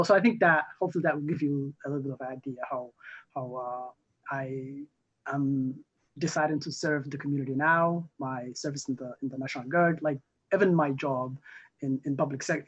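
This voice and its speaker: A young man from Somalia, a newly elected council member, answering interview questions haltingly with many fillers and restarts. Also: on the high side, breathy edge. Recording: muffled lo-fi audio.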